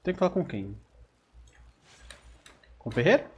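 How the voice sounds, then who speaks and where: Husky, very animated Brazilian man. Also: on the high side, moderate pace, lo-fi sound. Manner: colloquial, reactive, interjecting. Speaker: gaming YouTuber. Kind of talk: casual let's play commentary.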